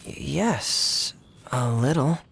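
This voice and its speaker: Slow, hesitant young assistant. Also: male voice, medium pitch, animated, gravelly, fairly bright tone, a little hiss or hum, full character voice. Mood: sheepish. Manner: hesitant, polite. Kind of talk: video game cutscene dialogue.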